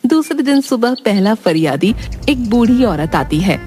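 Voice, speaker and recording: A woman narrating a children's cartoon story in a plain, steady storytelling manner. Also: a little high, measured pace, soft background music.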